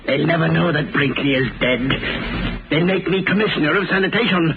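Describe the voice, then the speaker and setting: An actor playing a nervous, gloating, unhinged villainous chemist muttering to himself in 1930s radio melodrama style. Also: male voice, fairly high pitch, brisk, background noise, natural voice. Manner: muttering to himself, repetitive, agitated. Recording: tinny 1930s radio recording.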